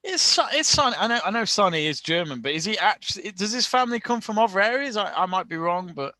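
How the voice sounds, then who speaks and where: A man chats loosely through a live football watch-along, tripping over restarts and breaking into laughs. His voice sits a touch high and sounds bright and forceful. The recording is dry and close. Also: animated, fast talker, dry recording. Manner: chatty, many restarts and laughs. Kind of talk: casual live-stream chat.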